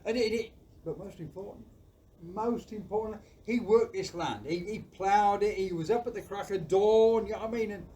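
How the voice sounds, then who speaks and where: An actor playing a warm, earnest farmer father in an audio play, rambling and repeating phrases in a folksy way. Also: medium pitch, natural voice. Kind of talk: community audio play acting. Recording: distant mic with faint background noise.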